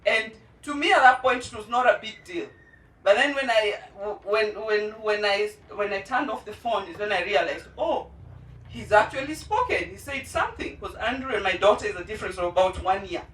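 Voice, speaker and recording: A mother of two special-needs children giving a heartfelt personal testimony at a charity launch, earnest and pausing often. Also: medium pitch, animated, a bit bright, far from the mic. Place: indoor event venue. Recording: roomy hall, voice from off-mic.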